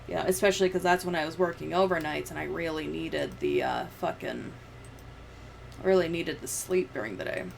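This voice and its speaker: A young woman streamer talks casually in a fairly low, gravelly voice. She rambles in a loose, sweary way close to the mic. There is clear ambient noise behind her. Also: normal speed. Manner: rambling, profane. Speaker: livestreamer. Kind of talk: casual livestream chat while editing.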